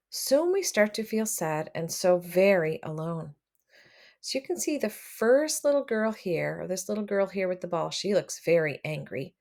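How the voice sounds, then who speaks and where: A woman reading a picture book aloud to children, expressive and addressing them directly as she comments along. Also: fairly low pitch. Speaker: read-aloud storyteller for children.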